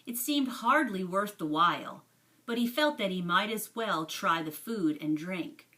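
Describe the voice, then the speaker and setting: Woman, children's storybook narration, slow careful phrasing, bright tone, slightly distant mic. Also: mid-range voice, lively intonation. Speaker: storybook reader. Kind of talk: expressive read-aloud narration of a children's book.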